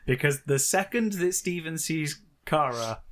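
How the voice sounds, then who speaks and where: A man's voice: a little high, lively intonation, unhurried, a bit bright. A British man co-hosting a podcast, recapping a book in a casual, wry and sarcastic way. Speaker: podcast co-host.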